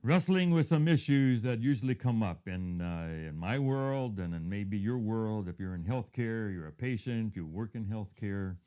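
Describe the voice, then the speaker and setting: A man in his fifties who grew up in Thailand, giving a reflective devotional talk built around a personal story and often dropping to a hushed tone. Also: on the low side, expressive, normal speed, few pauses, clean tone. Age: 50s.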